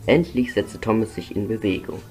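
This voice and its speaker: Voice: medium pitch, expressive, moderate pace, a little mellow, lightly acted. A performer gives a storybook narrator for a cartoon about engines. The telling is scripted and plain, with music running behind it. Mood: narrating.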